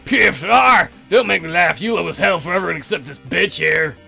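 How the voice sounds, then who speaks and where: A man's voice: high-pitched, moderate pace, gravelly, bright, forceful tone, husky, soft background music, pitched below the performer's own voice, heavily acted. A man voicing a crude, lecherous guard dog with a coarse, sneering, loutish delivery for a visual novel. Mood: bitter, sneering. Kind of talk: visual novel character voice-over.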